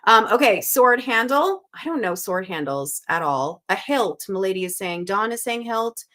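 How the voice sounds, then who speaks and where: A woman from Canada in her thirties or forties hosts a live puzzle stream, chatting casually and reading viewers' guesses aloud. Her voice is bright and clear. She sounds upbeat and talks her thinking through out loud. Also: medium pitch, sing-song, moderate pace. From Canada (Montreal). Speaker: host of a live crossword stream.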